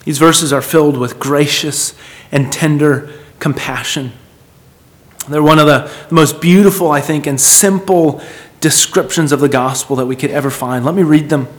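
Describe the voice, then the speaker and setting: A man's voice: a little high, fairly even intonation, moderate pace. A man preaching a sermon in an earnest, emphatic manner, repeating phrases for weight and putting questions to his listeners.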